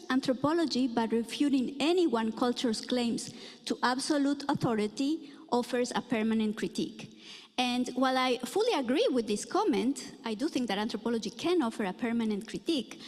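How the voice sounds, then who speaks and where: A middle-aged woman from Ecuador giving a conference lecture in a lecture room, earnest and full of filler pauses. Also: sits high, darkish tone. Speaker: anthropology professor giving a talk. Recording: echoey hall reverb.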